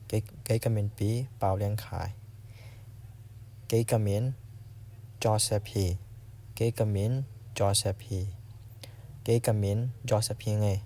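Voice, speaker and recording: A man teaching a language lesson in a casual, patient way, slowly repeating phrases for learners to practice. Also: lowish voice, dark tone.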